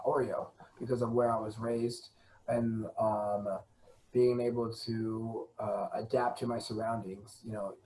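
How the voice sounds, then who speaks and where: A man's voice: mid-range voice, a little hiss or hum, rough recording. Young guy on a podcast, fired up and laughing a lot, mid-range voice. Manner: animated, passionate, laughs often. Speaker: podcast guest. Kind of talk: casual conversation.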